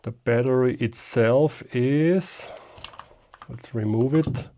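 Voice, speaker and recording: Slow-talking, mellow man giving an unboxing. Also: mid-range voice. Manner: halting, thinking aloud while handling things. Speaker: amateur radio video host. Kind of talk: unscripted product unboxing, casual demonstration.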